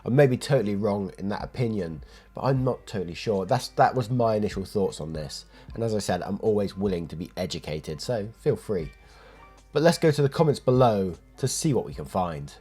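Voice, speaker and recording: A man's voice: lowish voice, animated, a little fast, warm tone, husky, faint music behind. A British man with a stuffy cold, chatting and reading online stories aloud as a channel host. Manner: friendly, chatty, thanks listeners. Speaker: story narrator. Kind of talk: casual reading of online posts.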